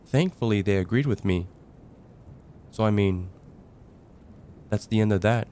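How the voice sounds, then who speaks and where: Man acting as mellow, low-voiced young heartbreaker friend. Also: a little hiss or hum, lightly acted. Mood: let down. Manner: conversational, hesitant, with sighs and trailing pauses. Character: casual young male heartbreaker friend confiding about dating. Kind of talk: ASMR roleplay, acted one-sided conversation.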